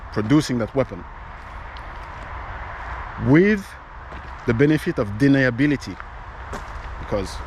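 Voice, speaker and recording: A man's voice: mid-range voice, darkish tone, a little hiss or hum. A man giving casual political commentary as host, with many fillers and restarts, speaking English as a non-native speaker. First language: not English. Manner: conversational, frequent fillers and restarts.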